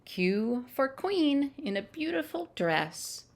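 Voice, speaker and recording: Slow-paced woman reading to children. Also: high voice, big pitch swings, noisy background. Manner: measured, simple phrasing with asides to young listeners. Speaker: children's storytime reader. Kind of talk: read-aloud picture books and action songs for young children. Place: at home.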